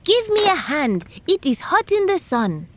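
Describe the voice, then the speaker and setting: A woman voicing a porcupine farmer from a children's folktale, calling out in a loud commanding chant. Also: very high-pitched, big pitch swings, a little slow, a little hiss or hum, pitched above the performer's own voice, heavily acted.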